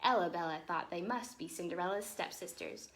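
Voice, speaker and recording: A woman reading a children's storybook in an expressive, animated way, with explanatory asides to young listeners. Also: fairly low pitch, fairly quick.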